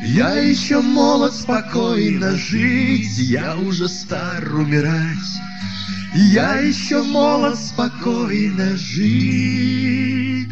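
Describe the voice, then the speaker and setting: High-voiced man singing slow bard song. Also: lively intonation, dull audio. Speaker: singer-songwriter. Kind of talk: acoustic guitar bard song. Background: plucked acoustic guitar.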